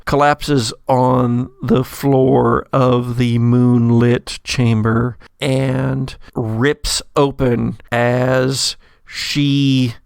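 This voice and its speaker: Slow, smooth-voiced male game master. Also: medium pitch, no echo, studio quality. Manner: deliberate, dramatic storytelling. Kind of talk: narrating a tabletop roleplaying game.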